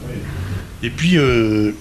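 A man's voice: medium pitch, animated, takes it slowly, a little hiss or hum. A man hosting a radio program, introducing a guest and interviewing her in a chatty, conversational way.

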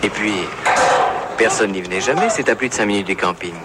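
An actor playing a dry, ironic camper in a 1970s film. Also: male voice, fairly low pitch, lively intonation, a little fast, heavy background noise, lightly acted.

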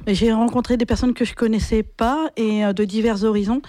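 A woman volunteer introducing herself in a calm, composed way. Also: a little high, brisk, no echo.

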